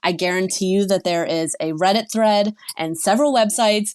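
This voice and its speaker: A woman's voice: medium pitch, normal speed, darkish tone, clear, resonant tone. Frank woman coach giving advice. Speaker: sex and relationship coach, guest. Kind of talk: podcast interview.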